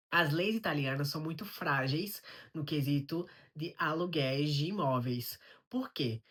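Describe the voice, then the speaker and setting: A woman with a Brazilian Portuguese accent, telling a personal story to camera in a vlog and explaining things step by step, often asking a question and then answering it herself. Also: sits low. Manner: explanatory, poses rhetorical questions and answers them. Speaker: vlogger.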